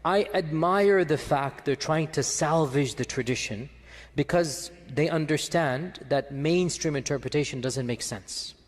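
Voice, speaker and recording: A man laying out an argument in a slow, measured, deliberate way. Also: a little high, long reverb tail.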